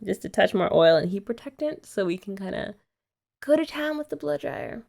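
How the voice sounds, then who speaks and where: Young woman, ASMR girlfriend roleplay, bouncy pitch, slight vocal fry, playful and teasing. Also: animated, normal speed, darkish tone. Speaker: ASMR creator.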